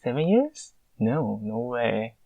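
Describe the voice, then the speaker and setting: A young man with a Mandarin Chinese accent, a native Mandarin speaker, answering listener questions in a casual, halting monologue full of filler pauses. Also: mid-range voice, big pitch swings, vocal fry, no room sound, narrow, tinny audio.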